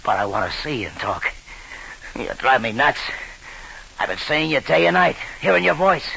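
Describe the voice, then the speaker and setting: An actor playing a gruff, suspicious crime boss rattled by guilt, talking in clipped gangster slang in an old-time radio drama. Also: male voice, medium pitch, big pitch swings, moderate pace, pushed and bright, exaggerated voice, rough recording. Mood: pleading, agitated. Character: hard-boiled male gangland boss, suspicious, rattled by guilt. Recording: hissy vintage radio recording.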